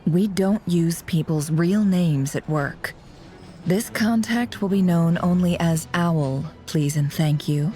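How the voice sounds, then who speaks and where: A woman's voice: on the low side, takes it slowly, mellow. An actress playing a cool, discreet spymaster, wry and controlled in her delivery. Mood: sly, teasing. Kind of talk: English game dub acting. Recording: music behind the voice.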